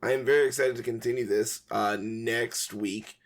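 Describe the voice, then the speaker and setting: A man's voice: mid-range voice, moderate pace. A man co-hosting a casual podcast, chatty and loose with lots of fillers, his voice starting to wear out. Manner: chatty, relaxed, many fillers. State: voice going hoarse. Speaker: podcast co-host. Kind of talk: casual podcast chat and sign-off.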